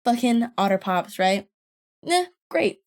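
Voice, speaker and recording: A young woman with a somewhat deep voice telling childhood stories on a podcast. She rambles with lots of fillers, laughs often and swears freely, at an average pitch for a woman. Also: lively intonation, a little slow. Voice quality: deeper voice. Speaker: podcast guest. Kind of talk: casual storytelling chat.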